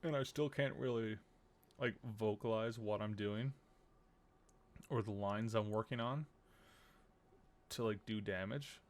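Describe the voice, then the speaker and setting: A man's voice: on the low side, measured pace, pauses often. A man giving casual live commentary as he streams a video game.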